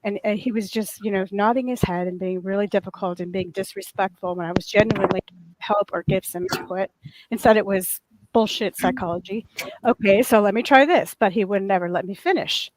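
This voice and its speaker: A woman on a casual panel chat with a soft voice that turns crackly and raw, telling a long, earnest, indignant story. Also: mid-range voice, fairly quick.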